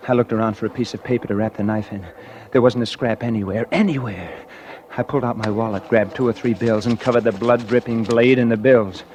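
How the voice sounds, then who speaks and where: A man's voice: medium pitch, steady tone, a little fast, darkish tone, natural voice. An actor playing a cold, calculating murderer who narrates his own crime in a confiding, suspenseful 1940s radio thriller style. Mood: tense, panicked. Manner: confiding, suspenseful, hard-boiled. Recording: vintage radio recording with dramatic music.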